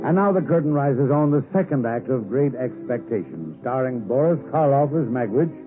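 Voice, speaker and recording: A man's voice: medium pitch, expressive, normal speed, loud music behind the voice. 1950s radio announcer man, narrow old sound. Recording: muffled narrow-band vintage radio.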